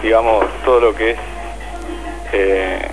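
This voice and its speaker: Low-voiced Argentine caller over clear music. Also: male voice, little pitch movement, measured pace, poor audio. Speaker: phone-in caller. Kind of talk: casual chat. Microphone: telephone call.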